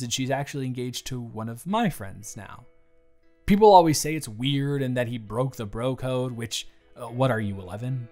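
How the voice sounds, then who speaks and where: Guy playing a conflicted boss in an intimate audio roleplay, earnest and confiding, kinda hesitant, mid pitch, music under it. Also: a little mellow. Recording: music behind the voice.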